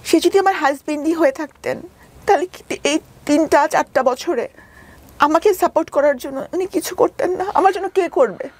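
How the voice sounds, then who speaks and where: A woman's voice: high-pitched, moderate pace. A woman with a Bangladeshi accent telling her personal story as an interview guest, emphatic and insistent, often repeating her points.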